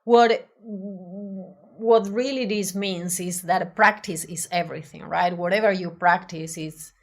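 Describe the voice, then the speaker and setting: Woman, Spanish accent, low voice, hesitant restarts, interview guest. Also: fairly even intonation, takes it slowly. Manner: hesitant, many restarts and fillers, thoughtful. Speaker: design professional, podcast guest. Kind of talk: casual interview conversation.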